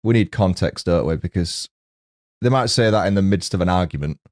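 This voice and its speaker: A man's voice: deep voice, fairly quick, darkish tone, dry recording, clean studio sound. A man in his mid thirties bantering casually as a podcast guest, blunt and sweary. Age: mid 30s.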